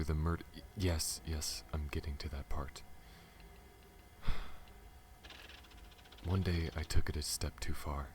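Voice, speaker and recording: A man playing a guarded, protective alien smuggler pilot in an ASMR audio roleplay, earnest and halting, speaking to the listener. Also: a bass voice. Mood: confessional, grave. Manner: halting, stammers when flustered, earnest. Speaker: voice actor. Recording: audible background noise.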